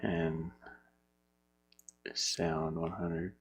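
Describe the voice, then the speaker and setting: Male game dev, lowish pitch, very unhurried, casual think-aloud in muttered fragments. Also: level tone. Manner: muttering to himself in short fragments. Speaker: indie game developer.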